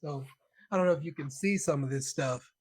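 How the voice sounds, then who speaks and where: A man from Birmingham, Alabama, guesting on a podcast over a video call. He tells stories in an animated way, laughs often and jumps into excited quoted voices. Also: fairly high pitch, fairly quick, breathy edge, no echo. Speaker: guest, concert composer. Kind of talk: casual conversation.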